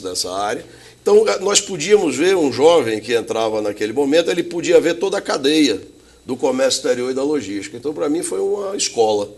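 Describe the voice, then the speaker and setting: Middle-aged man, interview guest, tells stories, chatty and easygoing. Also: mid-range voice. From Bahia, Brazil. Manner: chatty, anecdotal, frequent tag questions. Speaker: interview guest, logistics executive. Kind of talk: relaxed storytelling in an interview.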